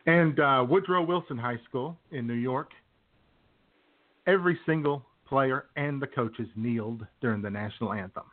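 A man's voice: mid-range voice, moderate pace. A man co-hosting a radio show, chatting casually about sports. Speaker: co-host of a comedy radio show. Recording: tinny phone-like narrow band.

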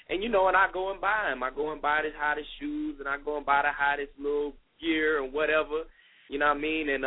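A man in his thirties, a youth minister guest, talking in a relaxed, conversational way with many fillers on a radio call. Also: a little high. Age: 30s. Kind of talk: casual radio interview talk. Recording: phone-line radio call.

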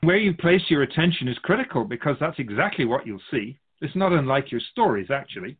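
Man playing a lively, husky otherworldly soul keeper. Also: medium pitch, phone-quality sound. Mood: reassuring. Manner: measured, philosophical, musing, addressing humanity as an outsider. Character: wise, patient otherworldly keeper of souls, amused and reassuring. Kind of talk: radio drama dialogue.

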